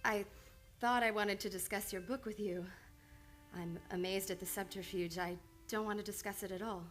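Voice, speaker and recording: A woman's voice: mid-range voice, steady tone, normal speed, faint music behind, natural voice. An actress playing an earnest, reserved woman psychiatrist in an old-time radio drama, speaking formally and precisely. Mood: nervous, confessing. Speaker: radio play actor. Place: radio studio.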